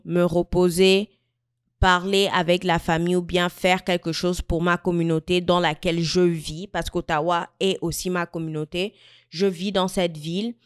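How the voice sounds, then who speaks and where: A woman in her mid-twenties from Equatorial Guinea, talking thoughtfully to camera in a personal vlog, with frequent pauses and restarts. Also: fairly low pitch, clean tone, no echo, studio quality. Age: 20s. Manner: thoughtful, searching, many fillers and restarts. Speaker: vlogger. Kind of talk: reflective personal talk to camera.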